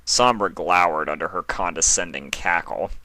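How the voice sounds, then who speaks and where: Male storybook narrator, low-pitched with a bright edge, scripted reading, very dry recording. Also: normal speed, no room sound.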